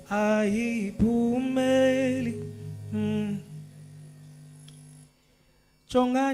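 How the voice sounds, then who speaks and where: A woman's voice: on the high side, warm tone. A woman singing a tender, pleading love song at a live event. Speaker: singer. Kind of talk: slow sung ballad. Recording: faint music behind.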